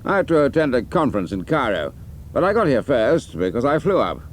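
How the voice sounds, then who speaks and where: A man playing a commanding army major in a radio drama, brisk, clipped and authoritative. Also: medium pitch, very animated, a little hiss or hum, lightly acted. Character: commanding male military intelligence major, older officer.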